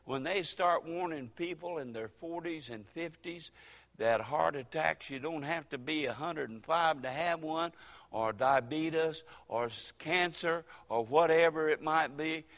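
Older male pastor, folksy sermon delivery, forceful bright tone, long church reverb. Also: a little high. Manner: folksy preaching with dramatic pauses and sudden loud rises.

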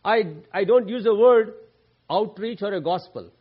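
A man from India with a Telugu-speaking Indian accent, preaching as a guest speaker in a church, with halting, repetitive phrasing and emphatic stresses. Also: high-pitched, breathy edge. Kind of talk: sermon. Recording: dull lo-fi recording.

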